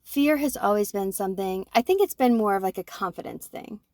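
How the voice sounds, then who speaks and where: A woman in her forties, co-hosting a podcast, talking through her own thoughts in an animated way and often checking in with "right?". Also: mid-range voice, brisk, no echo, clean studio sound. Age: 40s. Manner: animated, self-reflective, fond of tag questions like "right?". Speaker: podcast co-host. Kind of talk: casual conversation.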